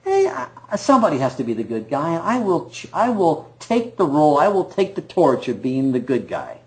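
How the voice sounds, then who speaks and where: A man's voice: fairly high pitch, sing-song, moderate pace, a little mellow, poor audio. A man in his fifties co-hosting a bowling podcast in casual, joking, self-deprecating chat. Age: 50s. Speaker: podcast co-host.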